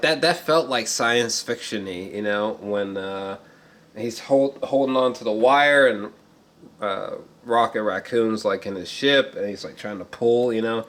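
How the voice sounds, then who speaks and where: A man giving casual, unscripted film commentary as a reviewer, rambling with repeated words and throat clearing, in a slangy, profane and emphatic way. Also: lowish voice, a bit bright.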